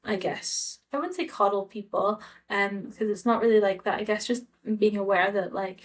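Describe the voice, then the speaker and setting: A woman's voice: mid-range voice, normal speed. A young Scottish woman talking casually to camera in a vlog, hesitant and full of fillers and restarts. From Scotland. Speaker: vlogger.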